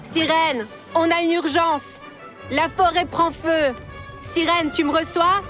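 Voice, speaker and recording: A woman's voice: very high-pitched, steady tone, slow pace, a bit bright, faint music behind, full character voice. A woman voicing a clever, level-headed young dinosaur in a children's cartoon, explaining things with confidence. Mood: urgent, resolute. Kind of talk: children's cartoon dubbing in French.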